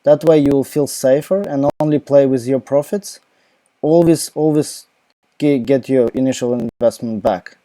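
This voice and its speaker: A man's voice: mid-range voice, steady tone, normal speed, mellow. A young man with a Ukrainian accent hosting a casual live Q&A from home on a laptop mic, rambling with lots of fillers and restarts. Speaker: crypto live Q&A host.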